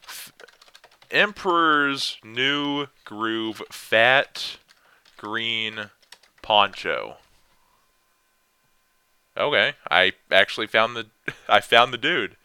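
Rambling young man with a deep voice. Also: expressive, extremely slow, long pauses, pushed and bright, clean tone, no room sound. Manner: rambling, self-deprecating, trails off and restarts. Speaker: vlogger answering viewer questions. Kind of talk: casual rambling chat.

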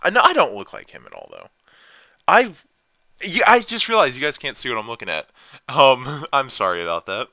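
Young man, deep lively voice, unhurried self-deprecating rambler, dry close mic. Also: no room sound. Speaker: vlogger answering viewer questions. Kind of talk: casual rambling chat.

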